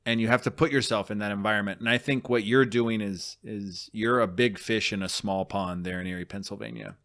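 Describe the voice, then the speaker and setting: A man with a lowish voice co-hosts a podcast in loose, casual chat. He swings between boastful jokes and earnest, reflective musing. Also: steady tone, brisk, a bit bright. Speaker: podcast co-host.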